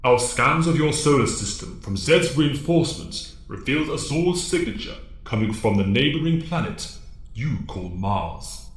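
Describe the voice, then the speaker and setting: An actor playing a stern, fatherly alien mentor in an audio drama, speaking formally and with measured authority. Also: medium pitch, expressive, echoey room, lightly acted. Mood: grave, instructive.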